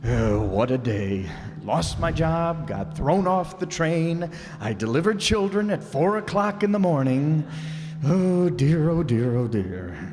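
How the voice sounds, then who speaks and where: A man's voice: on the high side, lively intonation, takes it slowly, music in the background. A man playing John, a grumbling, put-upon and exhausted husband, in an old-time radio comedy staged live in a reverberant hall. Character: John, a grumpy, exhausted middle-aged husband. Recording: live stage recording with long reverb and faint noise.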